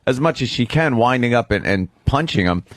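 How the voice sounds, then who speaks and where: A man in casual comic radio banter as a co-host. His voice sits in the middle of the male range and is captured close and dry. He tells anecdotes in profane, animated language. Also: mid-range voice, brisk, dry recording.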